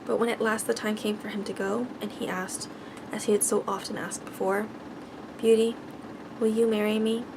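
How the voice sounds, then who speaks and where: Creaky-voiced woman reading a bedtime story. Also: medium pitch, flat delivery, fairly quick, background noise. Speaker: ASMR storyteller.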